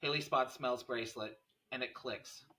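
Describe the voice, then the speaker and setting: Male narrator for a script table read, stage directions in a bright, forceful mid-range voice. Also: medium pitch, steady tone, moderate pace.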